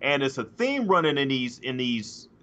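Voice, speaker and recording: A man's voice: mid-range voice, very animated, normal speed, fairly bright tone. A middle-aged man co-hosting a podcast in casual chat, hesitant and full of restarts, on a studio mic over a video call from home. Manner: hesitant, many restarts and fillers. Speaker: podcast co-host. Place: home room, indoors. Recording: dull lo-fi call audio.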